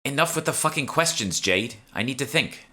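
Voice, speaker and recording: A man's voice: medium pitch, expressive, moderate pace, pushed and bright, voice pushed up. A man voicing a brusque, irritable young woman, a know-it-all alchemist, in a fantasy audiobook, with a curt and sardonic manner. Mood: strained, impatient.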